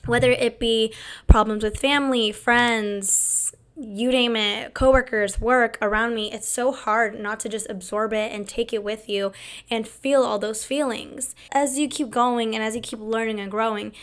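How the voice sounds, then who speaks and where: A young woman hosting her own video talk show, chatty and candid, her voice hoarse as it comes back after losing it. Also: fairly high pitch, fairly even intonation, unhurried. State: hoarse, recovering lost voice. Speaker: host. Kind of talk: casual talk to camera.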